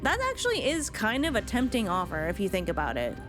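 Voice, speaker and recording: Female game streamer, mid-pitched. Casual, musing, informal reactions with swearing, soft music underneath. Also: huge pitch swings, quick pace. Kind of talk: casual live-stream commentary. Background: faint game music.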